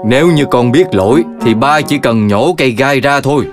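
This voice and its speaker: A man voicing an excitable, mock-stern father dog in a children's cartoon dub. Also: mid-range voice, normal speed, over music, close to an everyday voice.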